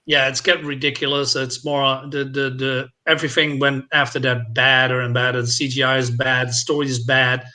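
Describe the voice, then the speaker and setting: A man chatting casually on a film discussion panel, with halting, repetitive phrasing. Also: mid-range voice, level tone, pushed and bright. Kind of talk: casual chat.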